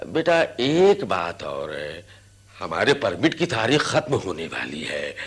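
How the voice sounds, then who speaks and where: An actor playing a greedy older boss of elephant catchers in a radio drama, coaxing and patronising with drawn-out exclamations. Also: male voice, low-pitched, huge pitch swings, a bit bright, husky, heavily acted. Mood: brisk, scheming. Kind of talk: Hindi radio drama acting.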